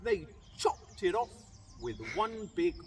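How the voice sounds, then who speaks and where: Animated British male storyteller, unhurried. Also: high voice. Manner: theatrical, emphatic. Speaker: primary school teacher reading aloud. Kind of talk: expressive children's storytelling in rhyming verse. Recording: distant microphone, faint background noise.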